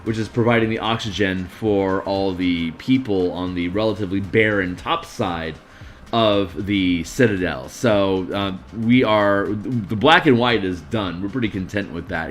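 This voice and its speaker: A man with a tired, gruff voice streaming casually, rambling through tabletop game design with lots of fillers. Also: fairly low pitch. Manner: rambling, many fillers and restarts. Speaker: streamer and map artist.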